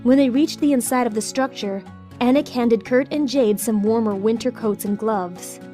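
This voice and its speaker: A woman's voice: fairly high pitch, moderate pace, dark tone, soft background music. A woman reading scripted story narration for an audio drama.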